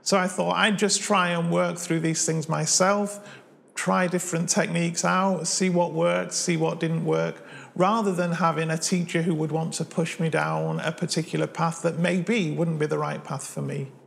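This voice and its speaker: A man's voice: on the high side, level tone, moderate pace, vocal fry, fairly bright tone. A man in his forties from Manchester with a Mancunian accent, chatting to camera about his piano hobby as host of his channel, recorded on a lapel mic in a living room. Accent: Mancunian English. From Manchester, England. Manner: chatty, self-deprecating, friendly storytelling. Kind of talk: casual talk to camera.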